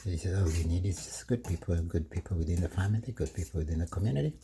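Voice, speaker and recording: A middle-aged man from Kenya, chatting slowly in his home kitchen while cooking, with many fillers and soft laughs. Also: low-pitched, background noise. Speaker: vlogger. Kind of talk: casual cooking vlog monologue.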